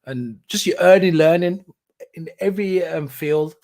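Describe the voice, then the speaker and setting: A man's voice: on the high side, normal speed, a little mellow. A man in his thirties hosting a podcast, chatting casually with lots of ums, repeated words and restarts. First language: not German. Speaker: podcast host. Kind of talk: casual solo catch-up chat.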